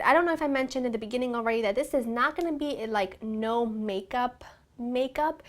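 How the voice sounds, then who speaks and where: A woman in her thirties, a little raspy from a cold, chatting through a makeup tutorial to her viewers from a small room at home. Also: a little high. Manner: chatty, gushing, warm address to viewers. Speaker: beauty vlogger.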